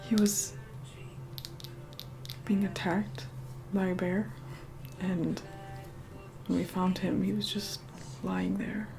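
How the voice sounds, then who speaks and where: A woman playing an aloof, reluctantly caring vampire woman in an ASMR roleplay, soft-spoken and breathy, often whispering, slow and condescending. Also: medium pitch, level tone, rough recording. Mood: wistful, tender. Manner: slow, condescending, grudgingly kind. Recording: loud music behind the voice. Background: music.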